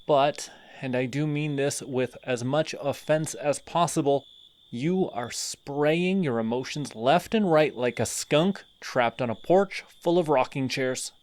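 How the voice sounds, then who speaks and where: A sardonic male telepath from an audio roleplay, taking his time over every barb, as a man acts him out. His voice sits in a middle register and his pace stays slow and deliberate, with crickets faintly chirping behind him. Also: mid-range voice, darkish tone, close to an everyday voice. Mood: mocking. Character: sardonic male telepathic villain, cocky then tender.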